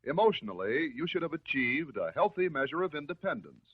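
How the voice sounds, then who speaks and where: An American man delivering scripted narration in the style of a 1950s educational film, measured, instructive and reassuring. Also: a little high, sing-song, husky. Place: voice-over recorded for film. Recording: muffled, narrow-band 1950s film soundtrack.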